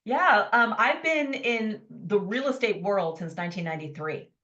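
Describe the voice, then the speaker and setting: A woman in her fifties, a life coach telling her story as a podcast guest in an enthusiastic, animated way. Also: mid-range voice, moderate pace, lo-fi sound. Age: 50s. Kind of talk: conversational interview storytelling.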